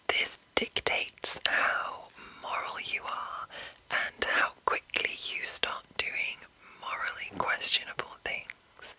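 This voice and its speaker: A woman speaks in a breathy, unvoiced whisper with a very bright, crisp edge. She explains things in a soft, measured way, as an ASMR host would, rambling a little without a script. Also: poor audio.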